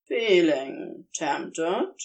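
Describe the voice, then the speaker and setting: A vain, preening demon, as a woman reading the story puts him on, a touch lower than her usual voice. He drawls his lines slowly with sly understatement. Even when he denies something, he sounds smug and unhurried. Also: exaggerated voice. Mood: teasing.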